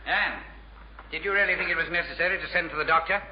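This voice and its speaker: A man's voice: fairly high pitch, fast talker, noisy background, close to an everyday voice. Man acting irritable husband, stern, very reverberant, muffled vintage radio sitcom. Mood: curt. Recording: echoey, dull old radio recording.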